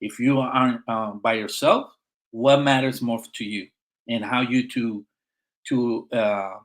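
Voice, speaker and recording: A middle-aged man with a Puerto Rican accent speaks in an emphatic, encouraging way, often tossing in "right?". His voice sits at an ordinary middle pitch for a man. He talks like a veteran guest in a relaxed podcast chat. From Puerto Rico. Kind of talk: casual podcast conversation.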